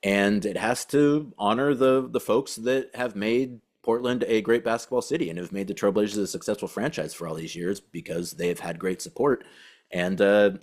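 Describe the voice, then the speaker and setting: A man hosting a sports podcast with casual commentary, talking in a conversational, halting way and circling back to rephrase. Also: fairly low pitch. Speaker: podcast host and team beat reporter.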